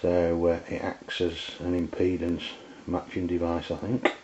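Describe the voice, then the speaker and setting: A man with an English accent and a very low voice talks through a hands-on review. His delivery is flat and unscripted, with plain wording and frequent hesitations. The sound is dull and lo-fi, as from a handheld camera's microphone. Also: slight background noise. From England. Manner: hesitant, halting, plain-spoken. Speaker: amateur radio reviewer. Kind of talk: unscripted product review demonstration.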